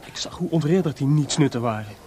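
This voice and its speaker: An actor playing a feverishly excited, sleepless and proud male composer in a radio drama, confiding and rhetorical. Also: medium pitch, quick pace, lightly acted. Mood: earnest, explaining.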